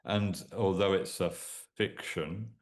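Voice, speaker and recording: A man's voice: sits low, takes it slowly. A man hosting a podcast interview, asking questions and encouraging the guest with short interjections. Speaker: podcast host.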